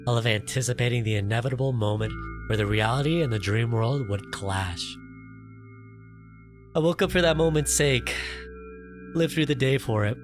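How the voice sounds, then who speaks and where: A man's voice: mid-range voice, normal speed, pauses often. A man doing a let's play, reading a visual novel's text aloud dramatically and breaking off for playful, casual asides. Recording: loud music behind the voice. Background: game music and sound effects.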